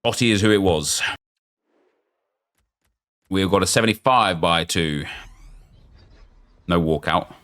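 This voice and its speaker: A man, chatty and excitable, thinking aloud as he opens packs in a football game, losing his voice and getting unwell. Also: sits low, slow, pauses often. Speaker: gaming YouTuber. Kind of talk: casual pack-opening commentary.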